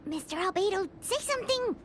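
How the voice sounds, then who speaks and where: A woman's voice: lively intonation, brisk, a little hiss or hum. A woman voicing a tiny, childlike, cheeky fairy companion in a video game. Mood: cheeky, excitable. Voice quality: squeaky, childlike. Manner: refers to self in third person, blurting. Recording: loud music behind.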